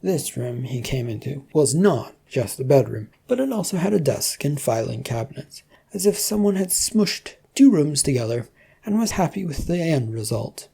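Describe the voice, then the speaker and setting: Low, husky woman narrating a story. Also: very animated, normal speed, mellow.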